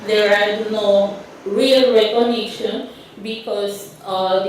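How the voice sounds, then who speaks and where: A woman from Honduras with a Honduran Spanish accent giving a conference talk with slides, speaking haltingly with frequent restarts and fillers. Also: medium pitch, slight background noise. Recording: roomy hall, speaker far from mic.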